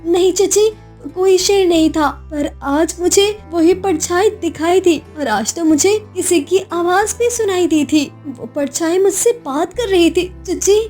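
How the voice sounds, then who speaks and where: An anxious young village orphan, put on by a woman, pipes up in an extremely high voice. She stammers and repeats herself, timid yet curious. It is cartoon storytelling over faint music. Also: measured pace, a little mellow.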